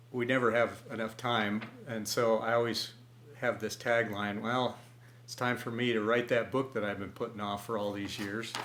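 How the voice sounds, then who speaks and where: A man telling stories at home, talking in a folksy, encouraging way with many pauses. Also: medium pitch. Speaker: storyteller. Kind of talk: home storytelling video. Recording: echoey room.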